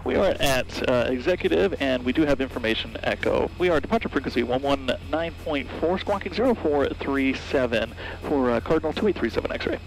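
A man's voice: medium pitch, lively intonation, brisk, vocal fry, few pauses, slight background noise, low-quality recording. An American man, a pilot, making hesitant, clipped radio calls into a headset in a small plane cockpit. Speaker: private pilot. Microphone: aviation headset boom mic. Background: music, aircraft.

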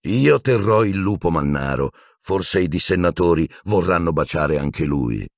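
A man's voice: sits low, lively intonation, measured pace, gravelly, dry recording, studio quality, heavily acted. A man voicing a vindictive, sneering male schoolteacher unhinged with rage in a storybook reading, menacing, hissing and shrieking. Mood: gloating.

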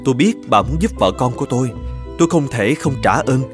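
A man voicing a humble village husband in an animated folktale, deferential and pleading in manner. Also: mid-range voice, brisk, music in the background, close to an everyday voice. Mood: grateful.

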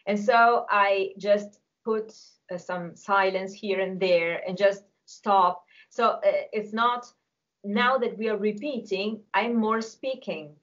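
A woman's voice: medium pitch, slow, a bit bright. A woman in her forties from Italy, speaking English with an Italian accent on a video call and explaining carefully as a singing teacher. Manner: thoughtful, explains carefully with some hesitation. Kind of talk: casual video call discussion. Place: home music room with drums. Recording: dull lo-fi call audio.

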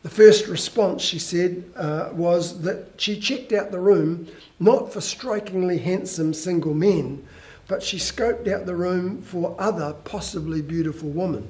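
An older man, a pastor, preaching a measured, earnest sermon on a church stage through a headset mic. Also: sits high, darkish tone, poor audio. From New Zealand.

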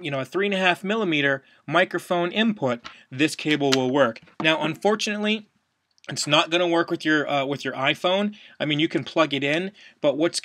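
A man in his thirties with a bright, slightly higher-pitched voice explains things step by step in a casual how-to style. He is plain-spoken and sounds close and dry, as if at a desk in a small room. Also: dry recording. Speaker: podcast host, product demonstrator. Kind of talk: casual instructional video demonstration. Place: small wood-panelled room at home, indoors.

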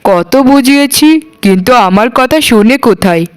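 A woman's voice: medium pitch, lively intonation, moderate pace, clear, resonant tone, natural voice. A woman playing a dutiful, sensible housewife, the eldest sister-in-law, speaking earnestly in scripted cartoon dialogue. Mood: reproachful.

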